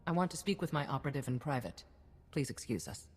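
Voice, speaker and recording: An actress playing a shrewd, stern head of state in a science-fiction video game, with a formal, cutting, authoritative manner. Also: female voice, very deep voice, sing-song, fast talker, darkish tone. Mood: stern, calculating. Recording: reverberant hall, music behind.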